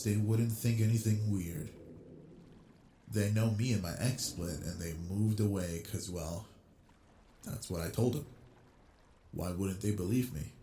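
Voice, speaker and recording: A man voicing an obsessive yandere man on a blind date, talking with quiet, smooth charm in a scripted audio roleplay, close on the mic. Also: deep voice, slight background noise. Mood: brooding. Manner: quiet brooding inner monologue, then smooth flirty charm.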